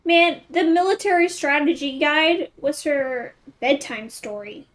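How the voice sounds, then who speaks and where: High-voiced woman gamer, playful. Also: a little slow, a bit bright. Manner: playful, slangy. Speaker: gaming streamer. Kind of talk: casual gameplay commentary.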